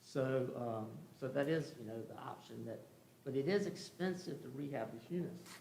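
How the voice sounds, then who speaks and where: A man's voice: mid-range voice, moderate pace, vocal fry, darkish tone. A man giving an informal, rambling presentation to a commission, explaining things in a conversational, folksy way as a contractor would. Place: indoor meeting room. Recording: echoey room with faint background noise.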